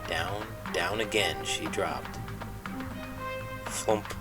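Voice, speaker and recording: A man reading a children's storybook aloud in a dramatic, expressive, animated way, like a teacher. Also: deep voice, very slow, distant mic. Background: music.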